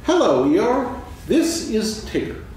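A gentle, earnest young bear, as a man reads him, somewhat high, with a lively, sing-song pitch. It is heard from a distance in a very echoey hall with faint noise. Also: takes it slowly, full character voice. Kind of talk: staged reading of a children's play. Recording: echoey stage, distant mic, background noise.

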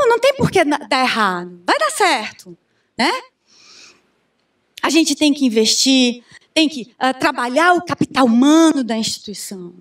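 Brazilian woman, high voice, chatty conference talk on a handheld mic, sounds a bit far off in a big room. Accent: Brazilian Portuguese. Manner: animated, chatty, asks the audience questions. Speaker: conference speaker. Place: large conference room with audience.

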